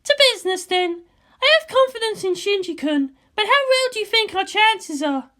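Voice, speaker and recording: Guy doing a playful world-weary young woman officer, really high voice, emotional, fan fiction audiobook. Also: animated, normal speed, sharp tone, full character voice. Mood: sly, playful. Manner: emotional, teasing. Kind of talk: dramatised fan fiction reading.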